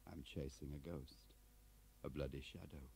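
A man's voice: very deep voice, fairly even intonation, measured pace, vocal fry, a little mellow, slight background noise, exaggerated voice. A man voicing a blunt, bitter British investigator in an audiobook, with a sarcastic, needling manner. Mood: bitter, weary.

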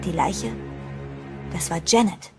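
A woman's voice: on the low side, slow pace. An actress playing an anxious woman who narrates her own horror story in a dramatic, suspenseful radio play style. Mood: tense, suspenseful. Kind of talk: German horror audio drama, scripted first-person narration. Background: prominent music.

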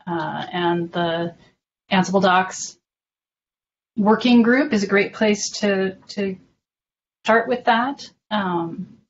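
A woman explaining things informally in an online meeting, measured and a little hesitant as she goes. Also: mid-range voice. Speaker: documentation working group member presenting. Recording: dull lo-fi online call with faint noise.

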